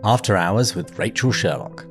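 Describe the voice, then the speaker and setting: A man hosting a podcast, reading a measured introduction and then chatting politely with his guest. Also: fairly low pitch, lively intonation, music in the background. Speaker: podcast host. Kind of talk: scripted intro, then conversation.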